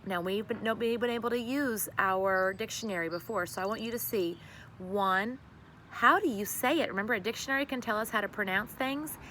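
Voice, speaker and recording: Expressive woman reading aloud, noisy background. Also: medium pitch, brisk. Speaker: teacher reading to a class. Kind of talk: expressive read-aloud storytelling to children.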